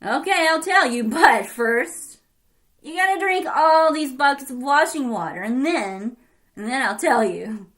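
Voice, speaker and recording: Woman doing a loud cow-washer man. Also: sits high, animated, measured pace. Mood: bargaining. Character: stubborn adult man who washes cows.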